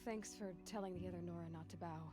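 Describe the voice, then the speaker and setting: An actress playing a determined young huntress, dry and wry, in video game dialogue. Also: female voice, fairly low pitch, fairly even intonation, fast talker, over loud music, natural voice.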